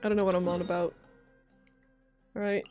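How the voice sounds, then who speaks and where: A woman's voice: fairly low pitch, little pitch movement, fairly quick, darkish tone. Casual female game streamer, music behind. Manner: musing aloud, informal, reactive. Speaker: game livestreamer. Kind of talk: casual live gameplay commentary.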